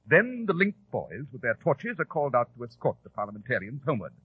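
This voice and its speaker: An actor playing a shrewd, sardonic English gentleman detective with precise, lofty diction in a 1940s radio drama. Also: medium pitch, sing-song, quick pace, slightly rough. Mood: brisk, lofty. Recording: dull, muffled old radio recording.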